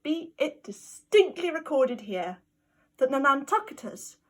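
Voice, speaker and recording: A woman giving an amateur dramatic reading of a classic novel, expressive and emphatic, drawing out some of her words. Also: high voice, a little slow. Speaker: amateur reader.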